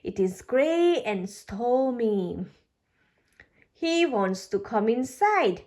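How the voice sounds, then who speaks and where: A woman reading a children's storybook aloud, narrating expressively and deliberately for young listeners. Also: fairly high pitch, very animated, darkish tone. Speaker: storybook reader.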